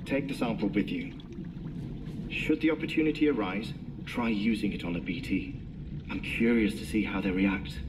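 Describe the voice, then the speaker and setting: An actor playing a courteous, eccentric scientist in a video game, speaking in formal, precise, wordy sentences. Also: male voice, on the low side, normal speed, reverberant, noisy background, natural voice. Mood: curious, solemn. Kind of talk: video game briefing dialogue.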